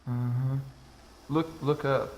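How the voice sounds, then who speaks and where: A man's voice: medium pitch, steady tone, extremely slow, darkish tone, reverberant, a little hiss or hum, poor audio. A man playing an impatient, self-serving architect in an audio drama, curt and sceptical. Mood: dry, sceptical.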